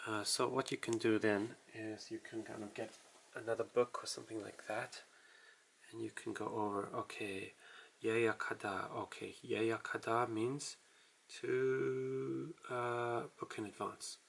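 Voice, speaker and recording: A man casually walking through a writing tutorial, explaining in a halting, self-deprecating way as he writes. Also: fairly low pitch, little pitch movement, vocal fry, breathy edge. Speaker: language tutorial host.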